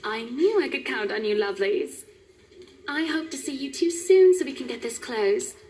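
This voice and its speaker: A woman's voice: sits high, animated, normal speed, a little mellow, slight background noise. A woman voicing a wealthy, gushing client in a visual novel, affectionate and sweetly bossy, calling everyone darling. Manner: affectionate, calls people darling.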